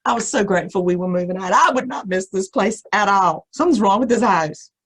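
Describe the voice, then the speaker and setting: Husky-voiced American woman telling a scary story. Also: mid-range voice. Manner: expressive, suspenseful delivery with dramatic pauses. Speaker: storyteller reading aloud. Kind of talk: dramatic first-person scary story reading.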